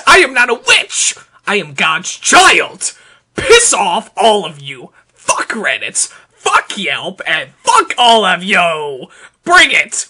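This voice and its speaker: A man giving an over-the-top dramatic reading, theatrical, emphatic and deliberate. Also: high-pitched, sharp tone, breathy edge. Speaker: performer reading social media posts aloud.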